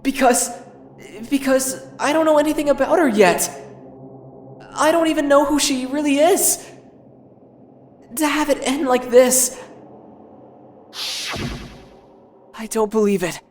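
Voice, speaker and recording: A man voicing an earnest, anguished high-school boy in an introspective, dramatic anime fandub. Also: measured pace, pauses often, echoey room, slight background noise. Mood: shocked, anguished.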